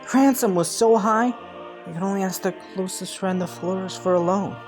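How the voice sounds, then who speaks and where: Young ambitious prince played by a man for romantic fantasy roleplay: lighter pitch, courtly diction, gently hesitant, scheming but earnest, faint music underneath. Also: moderate pace. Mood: thoughtful. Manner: formal courtly phrasing, softly hesitant at times. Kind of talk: romantic fantasy audio roleplay addressed to listener.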